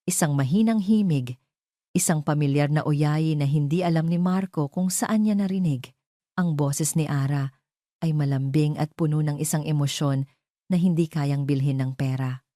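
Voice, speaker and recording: A woman's voice: deep voice, normal speed, mellow, no room sound, clean studio sound. A Filipino woman reading a scripted romance story as a dramatic narrator.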